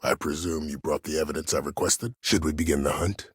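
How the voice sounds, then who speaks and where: An actor playing a disgraced, ruthless older military commander in a video game, speaking in a measured, formal, imperious and calculating way. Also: male voice, extremely low pitch, steady tone, breathy edge, no echo, studio quality. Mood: composed, formal. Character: disgraced ruthless old military commander, coldly self-justifying schemer. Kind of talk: dramatic game dialogue.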